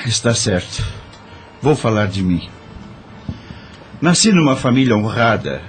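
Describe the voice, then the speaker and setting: A man's voice: mid-range voice, sing-song, takes it slowly, over music. An actor playing a once-proud corrupt judge in an audio drama, confessing his misdeeds with remorse in a dramatic, sighing manner. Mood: weary, confessional.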